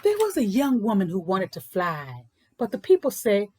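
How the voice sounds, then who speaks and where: A woman presenting and reading children's books aloud in an informal, explanatory way with deliberate, emphatic phrasing. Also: medium pitch, wildly swooping pitch, warm tone, slight background noise. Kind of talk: informal book talk and storybook read-aloud.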